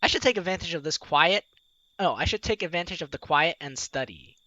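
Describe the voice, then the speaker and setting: Animated young man with a bright voice. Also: a little high, a little fast, dry recording, dull audio. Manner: animated, playful. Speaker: let's play host. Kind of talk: casual game commentary, reading dialogue aloud. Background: game music.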